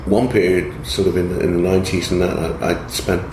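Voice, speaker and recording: A man's voice: low voice, level tone, brisk. A middle-aged man, an actor, talking in a hesitant way with many restarts during an interview. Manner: hesitant, full of restarts and repetitions. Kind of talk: interview conversation. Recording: echoey room with faint background noise.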